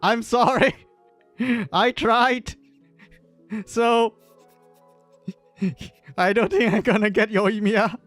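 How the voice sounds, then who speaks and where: A man's voice: high voice, fairly even intonation, very slow, pauses often, a bit bright, faint music behind. A man doing casual livestream commentary over a game, rambling and repeating himself, often chuckling between phrases. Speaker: live streamer.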